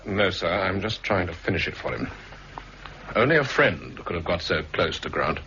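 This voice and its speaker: A man's voice: low-pitched, very animated, normal speed, bright, forceful tone, heavily acted. A man with a British accent playing a dutiful, coolly defiant army captain in a 1960s radio drama, firm, terse and formally polite. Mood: coldly resolute. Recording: muffled, hissy 1960s radio recording.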